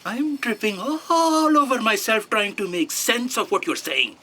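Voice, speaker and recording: A woman's voice: a little high, animated, measured pace. A woman playing a snippy, pedantic copy editor's aide who corrects people condescendingly in a comedy audio drama. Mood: scornful.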